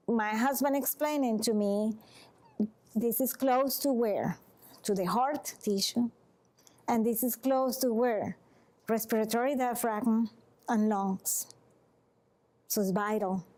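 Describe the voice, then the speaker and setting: A woman from Venezuela speaks with a Venezuelan Spanish accent, her voice sitting a little high. She gives an informal health talk to a group as a massage therapist. Her phrasing is earnest and halting, often pausing to check in with the room. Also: darkish tone.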